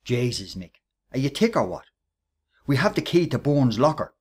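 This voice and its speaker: Male actor as bullying Irish ringleader, husky and swooping in pitch, sarcastic, menacing, radio drama. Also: sing-song, normal speed. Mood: mocking, commanding. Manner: taunting, sarcastic, bossy. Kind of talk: radio play acting.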